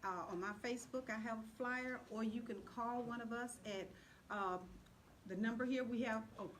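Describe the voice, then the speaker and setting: A woman's voice: on the high side, normal speed, a little hiss or hum. A woman speaking as a play director guest, explaining things in casual interview talk.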